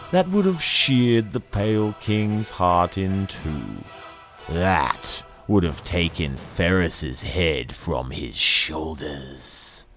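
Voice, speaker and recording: A man giving a dramatic audiobook-style reading of fiction in the second person, brooding and theatrical, with deliberate phrasing. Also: low voice. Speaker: narrator reading a fiction excerpt. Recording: voice over background music. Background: dramatic music.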